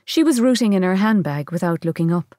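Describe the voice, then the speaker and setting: A woman's voice: fairly low pitch, expressive, a little fast, dark tone, no echo, studio quality. A woman reading literary fiction aloud as scripted narration.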